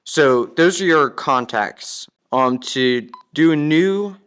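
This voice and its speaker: A man's voice: on the high side, expressive, slow, fairly bright tone, clear, resonant tone. A man giving a casual step-by-step product demonstration in a plain, instructional manner. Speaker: product demonstrator, ham radio operator.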